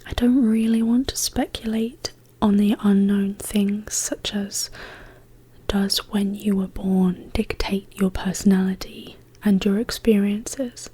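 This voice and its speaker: A woman speaking as an ASMR host in a hushed, whispered, casual question-and-answer style. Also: medium pitch, fairly even intonation, breathy edge. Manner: slow, hushed, gently paced delivery.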